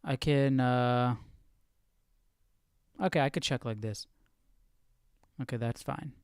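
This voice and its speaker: Smooth-voiced man musing aloud, dry sound. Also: mid-range voice, a little mellow, dry recording. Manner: informal, halting, muses to himself. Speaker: host of a Bible study livestream. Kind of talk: casual thinking-aloud commentary.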